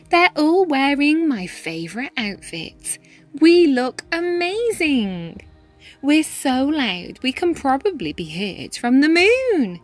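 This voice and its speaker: Very animated high-voiced woman reading a storybook. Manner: animated, dramatic pauses and emphasis. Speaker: read-aloud storyteller, teacher-style reader. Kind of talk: expressive children's picture book read-aloud.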